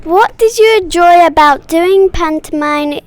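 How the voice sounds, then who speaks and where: A woman interviews theatre actors, reading her prepared questions carefully. Her voice is very high. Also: measured pace.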